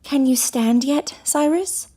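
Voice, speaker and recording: An actress playing a young sister in peril and possession in an audio horror drama. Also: female voice, high-pitched, slow, mellow. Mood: worried. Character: frightened young sister, later possessed by a haughty demon. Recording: music under the voice.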